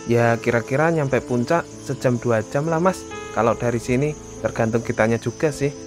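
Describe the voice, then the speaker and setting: A man's voice: medium pitch, normal speed, lightly acted. Cartoon horror role: a man as young male Indonesian hiker, concerned, practical, casual speech, prominent music underneath. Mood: friendly, reassuring. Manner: casual, colloquial. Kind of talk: animated horror story dialogue. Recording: music behind the dialogue.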